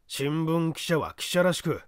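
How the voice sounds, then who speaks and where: Man playing a patronizing police detective, lively intonation. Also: on the high side, measured pace, dry recording, studio quality. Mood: mocking. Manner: blunt, patronizing. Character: condescending, teasing male police detective chief.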